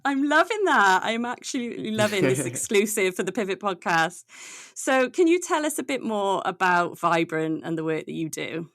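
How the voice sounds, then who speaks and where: A woman's voice: mid-range voice, big pitch swings, moderate pace, hardly any pauses, a bit bright, no room sound, studio quality. A woman hosting a podcast, introducing and interviewing a guest. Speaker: podcast host.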